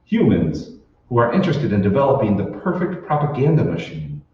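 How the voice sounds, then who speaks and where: A man's voice: medium pitch, very animated, a little fast, close to an everyday voice. Man acting a determined radio hero, heavy echo. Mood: urgent, astonished. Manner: urgent, dramatic, emphatic. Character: determined, earnest man uncovering a conspiracy. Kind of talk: old-time radio drama acting. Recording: echoey hall, distant, muffled, noisy.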